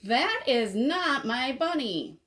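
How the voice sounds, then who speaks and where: Woman voicing an eager, animated little storybook girl. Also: sits high, slow, voice pushed up, full character voice. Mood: firm, upset. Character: excitable, chatty, determined little girl in a picture book.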